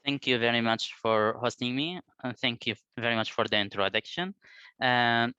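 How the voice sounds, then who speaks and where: A man from Iraq in his thirties, a native Arabic speaker, answering interview questions slowly with many hesitations. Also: medium pitch, fairly even intonation, sharp tone, smooth, no room sound, dull audio. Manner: slow, hesitant, many fillers. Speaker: researcher, interview guest.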